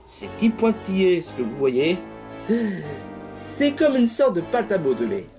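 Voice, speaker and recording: A performer voicing a gruff, menacing, bad-tempered male pig villain in an amateur cartoon, foul-mouthed and threatening. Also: medium pitch, huge pitch swings, unhurried, over music.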